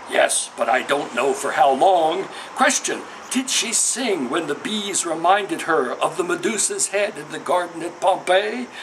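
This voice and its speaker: High-pitched man reading poetry over background noise. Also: fairly bright tone. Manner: measured, declamatory question-and-answer delivery. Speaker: poet reading own work. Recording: noisy ambience behind the voice.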